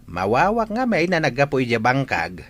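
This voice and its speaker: A man's voice: mid-range voice, huge pitch swings, moderate pace, fairly bright tone. A man acting an older village farmer and father in a radio drama, with an Ilocano accent. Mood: weary.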